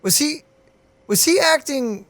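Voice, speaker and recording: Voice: high-pitched, animated, a little slow, fairly bright tone, no echo. A gaming streamer in casual reaction chat over gameplay, speaking informally and inquisitively, often repeating words.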